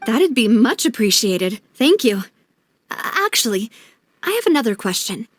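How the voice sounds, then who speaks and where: A woman's voice: a little high, very animated, moderate pace, dry recording, clean studio sound. An actress playing a determined, playful young woman investigator in a video game, polite and confident. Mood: polite, earnest. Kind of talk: video game dialogue acting.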